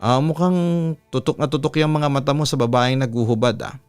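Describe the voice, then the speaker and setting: A man's voice: mid-range voice, steady tone, fairly quick, smooth, no echo, soft background music, natural voice. Man voicing a sassy, seductive Filipina bar hostess. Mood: teasing, seductive. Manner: teasing, seductive.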